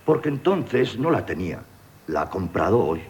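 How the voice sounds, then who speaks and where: Deep-voiced, animated obliging manservant. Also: male voice, normal speed, gravelly, full character voice. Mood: coaxing. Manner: hesitant, coaxing, chuckling. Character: obliging, baffled manservant running errands for his master. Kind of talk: 1970s radio theatre acting. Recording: noisy 1970s radio broadcast.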